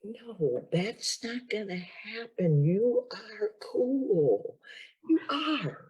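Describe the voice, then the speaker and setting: Woman playing a slow, husky big sister. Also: fairly high pitch, sing-song, a little mellow, lo-fi sound, heavily acted. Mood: coaxing. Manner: reassuring, playful, teasing. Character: older sister giving odd dating advice. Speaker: improviser.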